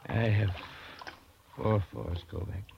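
A man playing a wealthy, cocky gambler who is quick to take offence, in theatrical 1940s radio acting. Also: lowish voice, gravelly, muffled recording. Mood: indignant, accusing. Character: wealthy, cocky gambler turned indignant, accusing castaway. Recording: band-limited, faintly noisy old radio recording.

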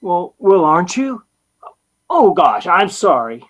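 A man's voice: high voice, big pitch swings, slow pace, full character voice. A naive young husband in an old-time radio comedy. He is polite and easily flustered, tripping over his words and hesitating often. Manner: hesitant, stammering.

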